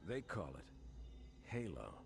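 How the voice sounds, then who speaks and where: A commanding middle-aged starship captain from a video game. His voice sits on the low side and moves widely in pitch. He speaks with stern, measured authority. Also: sing-song, gravelly, exaggerated voice. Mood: grave, stern. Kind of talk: video game cutscene acting.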